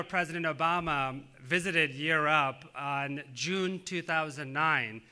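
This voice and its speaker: Earnest official in his thirties from Tanzania, native Swahili speaker, on a podium speech; very bright tone, a touch high. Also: clean tone. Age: 30s. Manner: earnest, measured, with frequent "uh" fillers. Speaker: government official giving a speech. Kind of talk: prepared public speech.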